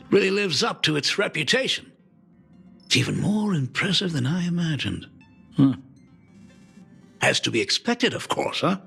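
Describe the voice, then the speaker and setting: A man's voice: medium pitch, vocal fry, pushed and bright, breathy edge, heavily acted. An actor playing a middle-aged doting father in a video game, self-deprecating, polite and hesitant in an emotive way. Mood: grateful, impressed, then awkward, nosy.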